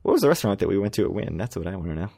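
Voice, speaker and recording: A man's voice: low voice, very animated, racing, gravelly, warm tone, husky. An American man co-hosting a podcast, talking casually and a bit rambly, often laughing at himself. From United States. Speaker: podcast co-host. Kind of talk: casual chat.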